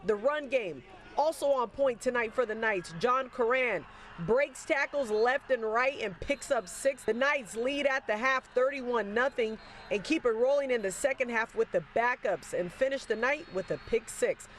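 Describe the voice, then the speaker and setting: A woman sports reporter reading game highlights in a steady newscast delivery. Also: moderate pace, a little hiss or hum. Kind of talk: scripted highlight narration.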